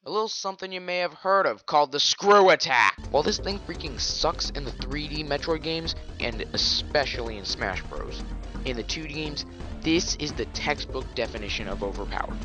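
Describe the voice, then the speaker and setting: A man's voice: fairly high pitch, expressive, moderate pace, music in the background. A man giving scripted commentary for a gaming video, sarcastic and profane, with ranting asides.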